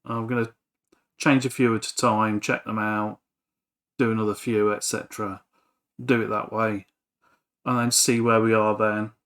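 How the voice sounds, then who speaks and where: Guy doing a casual workshop talk-through, really slow, kinda hesitant, thinking out loud. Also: medium pitch. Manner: hesitant, pausing often, thinking aloud. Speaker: electronics hobbyist and repair vlogger. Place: home workshop.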